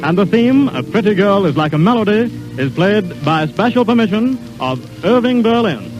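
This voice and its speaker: A man's voice: high voice, expressive, normal speed, over music, rough recording. An American man announcing in a formal, emphatic 1930s radio advertising style, on a vintage broadcast recording.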